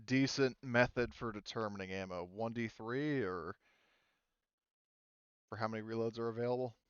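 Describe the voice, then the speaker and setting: Crisp-voiced man running a tabletop game. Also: fairly low pitch, moderate pace, dry recording, dull audio. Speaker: game master. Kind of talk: casual online tabletop game session.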